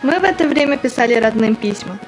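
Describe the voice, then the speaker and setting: A woman's voice: a little high, animated, quick pace, soft background music, natural voice, low-quality recording. A woman in an audio play acting a young, stubborn woman soldier who tells of the war in plain words. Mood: earnest recollection. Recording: faint background noise and ambience.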